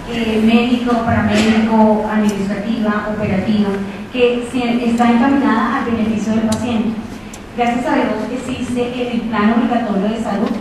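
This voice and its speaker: A woman speaking as a company representative on a panel, hesitant with fillers and self-corrections. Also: on the high side. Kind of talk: panel talk. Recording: echoey room from far off, strong noise.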